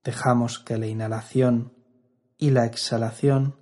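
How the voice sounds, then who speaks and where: Slow, husky-voiced man guiding meditation. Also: medium pitch. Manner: calm, measured, with long pauses. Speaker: meditation instructor. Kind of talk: guided meditation narration.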